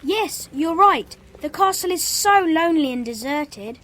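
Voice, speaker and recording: A woman's voice: very high voice, lively intonation, measured pace, fairly bright tone, heavily acted. A woman playing a sensible, cautious young schoolboy in a children's audio drama. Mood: concerned.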